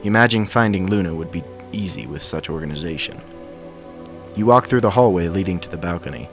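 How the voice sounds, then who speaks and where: Male narrator, low pitch, scripted story reading, faint game soundtrack music behind. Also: lively intonation, a little fast. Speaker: fan fiction reader.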